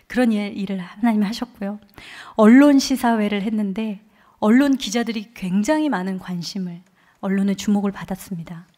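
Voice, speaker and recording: A woman in her forties speaks from a church platform, sharing an earnest testimony. Her voice sits at an ordinary middle pitch. She tells her story with quiet conviction through a microphone. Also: fairly quick. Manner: earnest storytelling, quoting others with lively emotion. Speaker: guest speaker at a church revival. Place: church sanctuary.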